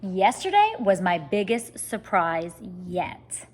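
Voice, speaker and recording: A woman reading a children's story aloud like a teacher, expressive and speaking straight to young listeners. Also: medium pitch, slow pace, slight background noise. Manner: expressive, addressing children directly.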